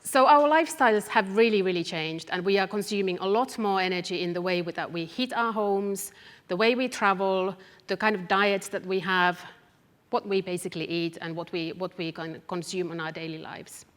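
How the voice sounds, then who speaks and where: A long hall reverb surrounds a woman with a Finnish accent as she delivers a public talk. She is a researcher who speaks deliberately and directly to her listeners, leaning on key phrases. Her pitch sits in an average range for a woman. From Finland. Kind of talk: public talk to a live audience. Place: event hall, live talk.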